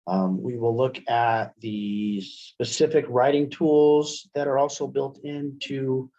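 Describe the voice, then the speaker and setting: A middle-aged man leads an online software tutorial with a mellow, relaxed tone at a medium pitch. He explains step by step in a halting way. The headset sound is dull and lo-fi. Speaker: webinar presenter, college media specialist. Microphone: headset microphone on video call. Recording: dull, lo-fi webinar headset audio.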